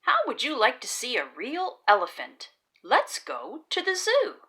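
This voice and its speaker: A woman acts out a caring, slightly stern gentleman from a children's picture book. The voice is bright and lilting, rising and falling widely as he gives instructions. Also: fairly high pitch, huge pitch swings, measured pace, full character voice. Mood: cheerful. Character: caring, mildly stern adult man in children's storybook.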